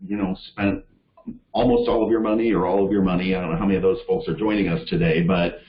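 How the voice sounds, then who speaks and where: Deep-voiced man urging on a conference call. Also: steady tone, fast talker. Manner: informal, filler-heavy, earnest and urging. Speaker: staff presenter for a league of cities. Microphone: call audio. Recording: echoey, dull call audio.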